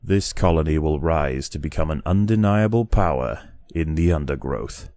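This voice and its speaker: A man narrating a game in a scripted nature-documentary style, measured, grave and dramatic. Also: a bass voice, heavy background noise.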